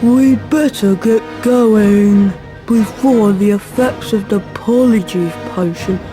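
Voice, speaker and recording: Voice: mid-range voice, takes it slowly, music in the background, natural voice. An actor playing a kindly, earnest schoolboy wizard hero in a video game, polite and hesitant. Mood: concerned.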